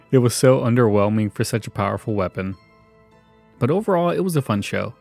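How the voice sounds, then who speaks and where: A man's voice: fairly low pitch, creaky. A man hosting a podcast solo with casual, conversational commentary. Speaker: podcast host. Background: faint music.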